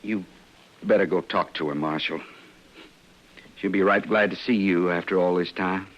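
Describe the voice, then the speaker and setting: A man's voice: low voice, steady tone, moderate pace, lightly acted. A man playing a proud, desperate young frontier husband with a rural American accent, guarded and plain-spoken in a 1950s radio western. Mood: subdued, weary. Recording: muffled old radio recording.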